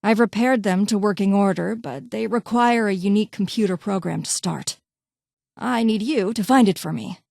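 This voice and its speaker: A woman's voice: medium pitch, moderate pace, gravelly, darkish tone, no echo, studio quality, close to an everyday voice. A woman voicing a brusque, condescending vault doctor and scientist in a video game, with clipped, formal, haughty delivery. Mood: businesslike. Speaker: voice actor in a game mod. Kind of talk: scripted game character dialogue.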